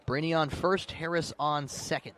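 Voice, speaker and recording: A man's voice: on the high side, steady tone, moderate pace, fairly bright tone. A man doing live radio play-by-play, describing the action in detail and lifting his intensity for big plays. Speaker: play-by-play sports announcer. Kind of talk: live radio sports commentary. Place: outdoors at a ballpark or sports field. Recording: live outdoor broadcast with faint background noise.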